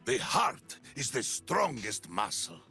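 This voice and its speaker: A hearty hero in a video game rallying friends, slow and swooping, over soft music. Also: male voice, medium pitch, very animated, creaky, exaggerated voice. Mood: hearty, earnest. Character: big hearty male strongman hero, earnest, encouraging. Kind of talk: video game character voice lines.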